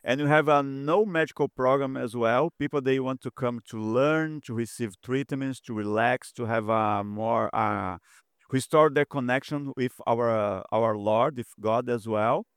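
A man from Brazil with a strong Brazilian Portuguese accent, answering interview questions in halting English with frequent pauses. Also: medium pitch, clean tone, no room sound, studio quality. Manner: halting, searching for words with frequent "uh". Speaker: president of a lifestyle health center.

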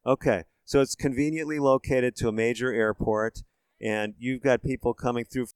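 A man's voice: medium pitch, steady tone, moderate pace, clear, resonant tone, no room sound. Man, talk show host, friendly leading questions, resonant voice, dry studio sound. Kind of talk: conversational interview hosting.

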